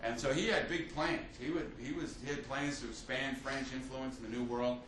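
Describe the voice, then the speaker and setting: A man's voice: medium pitch, steady tone, normal speed, a bit bright, a little hiss or hum. A man giving an unscripted history lecture as a teacher, telling it like a story, with many fillers and restarts. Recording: echoey room, mic at a distance.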